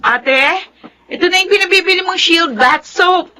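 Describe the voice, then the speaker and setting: Devoted little sister in filipino radio drama, high voice, emotional and excitable. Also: female voice, normal speed, over loud music. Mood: panicked. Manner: earnest, emotional, exclamatory. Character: devoted, excitable younger sister comforting her sibling.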